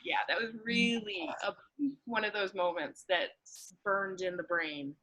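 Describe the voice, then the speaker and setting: A woman with a bright, forward voice at an average pitch chats in a personal, informal way. She is emphatic and loose in her phrasing, with plenty of conversational filler. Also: a little slow, muffled recording, slight background noise. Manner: informal, emphatic, full of "like" and "you know". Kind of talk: casual personal chat.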